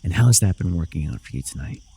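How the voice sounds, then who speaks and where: A man playing a proud, menacing, persuasive warlord villain in a fantasy audio roleplay, speaking theatrically and sardonically straight to the listener, moving between soft coaxing and forceful declamation. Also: sits low, lively intonation, quick pace, creaky, slight background noise. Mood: mocking, amused.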